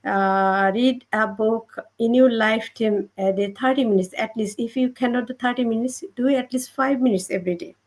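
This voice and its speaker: A woman with a Bangladeshi accent speaking non-native English, giving an unscripted motivational testimony as a panel guest, halting and repeating phrases. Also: on the high side. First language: not English.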